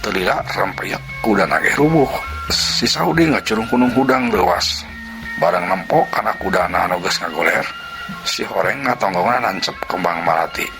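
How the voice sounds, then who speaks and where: A male storyteller with a low, gravelly voice reads a folk tale in a lively manner, full of sound words and dropping at times to a whisper. Music plays clearly underneath the whole narration. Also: moderate pace. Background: background music.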